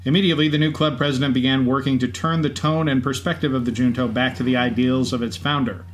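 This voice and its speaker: A man's voice: medium pitch, steady tone, fast. A male co-host reads scripted narration in a mid-range voice. There is faint event ambience from a racetrack behind him. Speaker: podcast co-host. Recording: faint background ambience noise.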